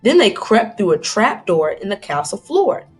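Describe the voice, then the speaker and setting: A woman's voice: mid-range voice, very animated, moderate pace, a little mellow, husky, soft background music. A woman reading aloud to children like a teacher, dramatic and inquisitive, stopping to put questions to young listeners.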